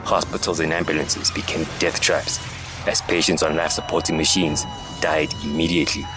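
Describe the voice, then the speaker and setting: A man's voice: a bass voice, little pitch movement, fairly quick. A man giving scripted, dramatic narration for a science fiction audio drama. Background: music.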